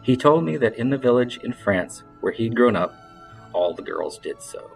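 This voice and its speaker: A man reading literary fiction aloud in a measured, expressive storytelling style, like an audiobook narrator. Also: mid-range voice, gravelly, faint music behind, poor audio.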